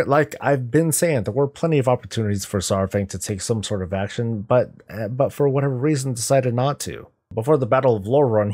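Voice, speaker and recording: A man in his thirties hosting a reaction video, giving off-the-cuff analysis in a deliberate, emphatic way. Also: lowish voice, darkish tone.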